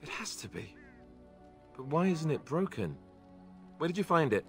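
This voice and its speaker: An actor playing an earnest young warrior in a video game, soft-spoken and hesitant. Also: male voice, on the high side, very animated, moderate pace, soft background music. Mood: moved, bewildered. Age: young adult. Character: earnest young armored warrior, quietly moved. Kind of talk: video game dramatic acting.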